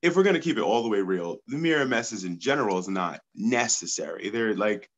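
Enthusiastic congested man, lowish voice. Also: lively intonation, a little fast, a bit bright, muffled recording. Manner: enthusiastic, outspoken. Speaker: podcast guest. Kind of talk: casual podcast chat.

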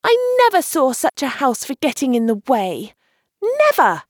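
A woman's voice: fairly high pitch, huge pitch swings, moderate pace, no echo, studio quality. A woman voicing an exasperated, petulant young girl in a storybook reading.

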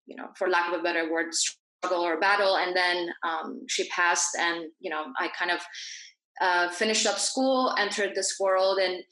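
A woman from Iran who grew up in Dubai, speaking reflectively and haltingly as an interview guest, often rephrasing herself. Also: lowish voice, steady tone, sharp tone. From born in Iran, grew up in Dubai. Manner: halting, frequent pauses and self-corrections. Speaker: podcast guest, naturopathic doctor. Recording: echoey room.

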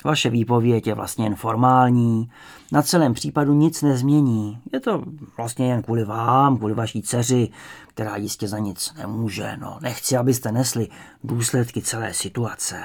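A man's voice: mid-range voice, expressive, few pauses, a little mellow, lightly acted. An old good-cop interrogator from an audiobook, read by a man. He is obliging and falsely kindly, wheedling as he persuades. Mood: soothing, persuasive.

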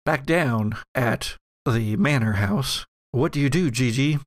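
A man's voice: mid-range voice, slow pace, no echo, clean studio sound. A man acting as game master, narrating and bantering casually at a tabletop role-playing game. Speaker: game master of an actual-play podcast.

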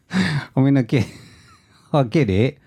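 A man's voice: medium pitch, a little mellow, slightly rough. A young man co-hosting a podcast in casual chat, joking and laughing often. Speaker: podcast co-host.

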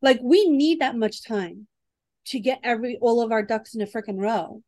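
Woman in her forties, podcast co-host, chatty, lots of fillers, fairly high voice, dry close sound. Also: normal speed, mellow, no echo. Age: 40s. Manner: chatty, fillers, searches for words. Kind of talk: casual chat.

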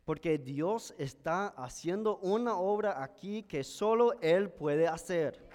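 A male pastor whose first language is English, preaching a sermon in a careful, measured way with an American accent. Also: high voice, lively intonation, a little mellow. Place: church sanctuary. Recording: church hall with long reverb.

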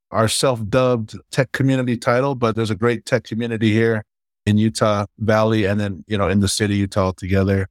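A man in his forties from Hawaii, a startup founder chatting casually and warmly about his life as an interview guest. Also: lowish voice, no room sound, clean studio sound.

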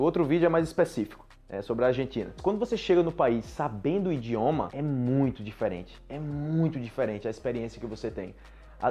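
A man's voice: mid-range voice, dark tone. A Brazilian man with a Brazilian Portuguese accent, teaching Portuguese to foreigners in a chatty, repetitive way, talking straight to his viewers. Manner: chatty, repeats himself, addresses viewers directly. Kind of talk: casual teaching monologue.

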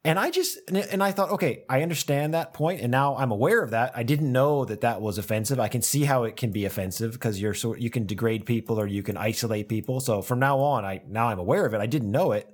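A man's voice: mid-range voice, fast, few pauses. A man, an English teacher, talking through ideas in a casual academic conversation and often repeating words as he thinks aloud.

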